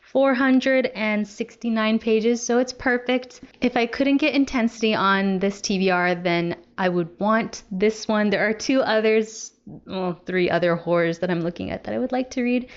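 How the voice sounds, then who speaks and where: A woman's voice: mid-range voice, moderate pace, dull audio. A young adult woman talking casually to viewers as a book vlogger. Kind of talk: casual chat to camera.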